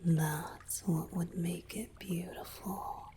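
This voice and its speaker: A woman voicing a playful, romantic young merman boyfriend in a breathy, teasing ASMR whisper with soft hums. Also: fairly low pitch, steady tone, slow pace. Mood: coy, gentle.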